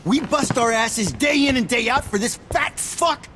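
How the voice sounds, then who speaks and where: An actor playing an angry young dockworker with an American accent, aggressive and profane in manner. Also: male voice, extremely high pitch, moderate pace, background noise. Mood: outraged. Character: hot-headed, angry young male dockworker. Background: background music.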